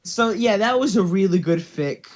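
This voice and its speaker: A man's voice: sits high, lively intonation, moderate pace, dull audio. A man chatting casually as co-host, rambling and correcting himself as he goes. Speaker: co-host of a fan fiction reading channel. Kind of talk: casual chat.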